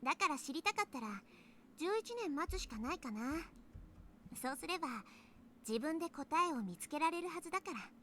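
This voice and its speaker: High, quick young time traveler. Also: female voice, lively intonation, fairly bright tone, a little hiss or hum. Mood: matter-of-fact. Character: breezy young woman time traveler from the future. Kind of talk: Japanese visual novel voice acting.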